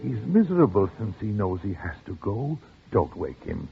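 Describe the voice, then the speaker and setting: An actor playing an anxious older father protecting his son in a 1940s radio drama, nervous, halting and pleading. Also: fairly low pitch, sing-song, vocal fry, dull audio, soft background music. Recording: crackly noisy band-limited old radio recording.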